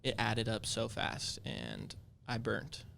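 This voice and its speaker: A young man co-hosting a casual podcast, talking in a relaxed, conversational way with plenty of fillers. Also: lowish voice, slow, creaky, a little hiss or hum. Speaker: podcast co-host.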